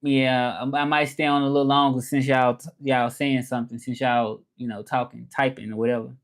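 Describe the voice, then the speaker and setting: Man, Southern American accent, live-stream host, casual chat, English and French, repetitive, mid-pitched. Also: little pitch movement, brisk. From Memphis, Tennessee, USA. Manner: repeats phrases, talks straight to viewers, switches languages mid-thought. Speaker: live streamer and language teacher.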